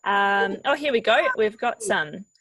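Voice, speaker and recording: A woman's voice: mid-range voice, animated, unhurried, heavy background noise. A woman hosting an online workshop, friendly and encouraging as she guides participants. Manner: friendly and encouraging, repeats "fantastic". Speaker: webinar host.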